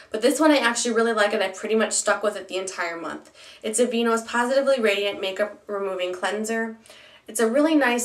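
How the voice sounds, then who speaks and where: A woman's voice: medium pitch, a bit bright, echoey room, distant mic. A young woman with a stuffy, nasal voice from a cold, chatting casually through a product review with plenty of ums and restarts. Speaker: beauty vlogger.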